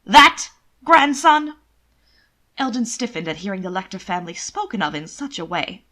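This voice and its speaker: Expressive woman narrating with a lively range. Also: fairly low pitch, quick pace. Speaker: amateur audiobook narrator. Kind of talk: scripted fiction narration, period romance.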